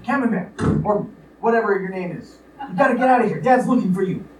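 An exasperated, scheming young newlywed son, played by an actor in a comic radio play. The delivery is urgent and wry at a middling pitch. It is picked up from a distance on an echoey, slightly noisy stage. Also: fast, natural voice. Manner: urgent, pleading, sarcastic. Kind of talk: old-fashioned comic radio play acting. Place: live radio play performance in a room.

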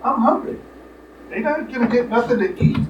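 A man's voice: very high-pitched, lively intonation, normal speed, lo-fi sound. An actor playing a reluctant, plain-spoken fisherman with a grudging, folksy manner in a live radio comedy play. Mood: hungry, plaintive. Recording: echoey, distant, noisy live stage recording.

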